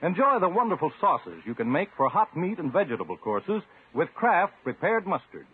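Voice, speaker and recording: A man's voice: on the high side, sing-song, moderate pace, slight background noise. A man reading a scripted 1950s radio advertisement as a commercial announcer. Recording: tinny, muffled 1950s radio recording.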